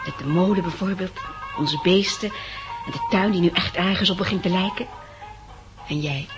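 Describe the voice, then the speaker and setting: An actress playing an affectionate, emotional young woman enduring hard times, expressive and earnest, in a 1960s radio drama. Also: on the low side, moderate pace, muffled recording, over music, natural voice. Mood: rueful, affectionate.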